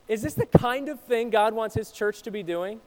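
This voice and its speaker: A man with a fairly high voice gives a sermon in a church. He talks easily and asks his congregation questions. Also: moderate pace, a little mellow. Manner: conversational, asks the audience questions. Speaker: pastor.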